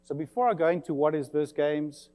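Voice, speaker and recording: A man's voice: a little high, brisk, dark tone, in a large hall. A man in his forties with a South African accent, giving a friendly, chatty conference talk with many fillers. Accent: South African, slightly English-tinged. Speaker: conference presenter. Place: conference session room.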